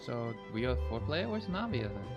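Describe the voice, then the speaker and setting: A woman streams a game in a notably deep voice. She rambles casually and thinks aloud with lively swings in pitch. Loud game music plays behind her. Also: normal speed, muffled recording. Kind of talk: casual live gameplay commentary.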